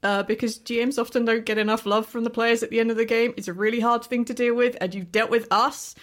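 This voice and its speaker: Woman player with a fairly high voice. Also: fairly even intonation, fast. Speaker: cast member and player. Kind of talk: casual banter.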